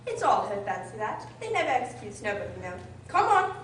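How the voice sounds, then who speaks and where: Scoffing, exclamatory storybook Griffin; high, colloquial radio acting in a very echoey recording. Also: female voice, very animated, quick pace. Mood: gleeful, scoffing. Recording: echoey, distant, very noisy recording.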